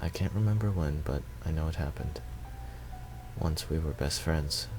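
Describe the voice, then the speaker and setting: Very deep-voiced man reading a story. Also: moderate pace, music in the background. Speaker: video maker reading a visual novel. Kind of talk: reading first-person story narration aloud.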